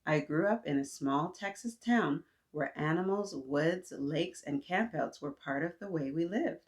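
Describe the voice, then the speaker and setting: A woman voicing a kindly, proud neighbor lady in a picture book, measured and courteous in a motherly way. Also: low voice. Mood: reminiscing.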